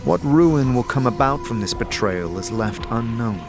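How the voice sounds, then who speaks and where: A man giving scripted fantasy narration in a dramatic, measured storytelling manner. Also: medium pitch, lively intonation. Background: music.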